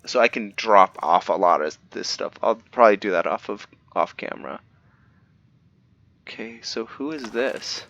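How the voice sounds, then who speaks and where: A man's voice: lowish voice, lively intonation, normal speed, a bit bright, muffled recording. A man giving casual let's-play commentary, thinking aloud and narrating his own moves as "we". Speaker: gameplay video creator.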